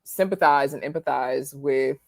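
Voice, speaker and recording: Blunt, deadpan guest, speaking flat and dry over a close, dry mic. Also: female voice, a bass voice, unhurried, gravelly, no echo. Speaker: podcast guest, bar owner. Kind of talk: casual chat.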